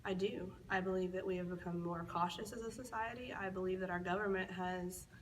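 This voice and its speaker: An eighteen-year-old woman giving earnest, measured interview answers. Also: on the low side, fairly even intonation. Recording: distant mic with some noise.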